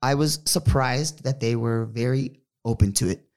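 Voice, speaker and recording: A young man co-hosting a podcast, talking in a loose, rambling way. He keeps restarting his sentences and piles on fillers as he tells a personal story. His pitch sits in an ordinary middle range. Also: moderate pace, darkish tone, clean tone. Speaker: podcast co-host. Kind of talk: casual chat.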